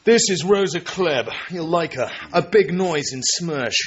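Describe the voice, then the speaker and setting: An actor playing a suave, sardonic British secret agent with a wry, urbane manner in a radio drama. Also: male voice, on the high side, expressive, measured pace, breathy edge. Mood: sardonic triumph. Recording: dull broadcast sound with music behind.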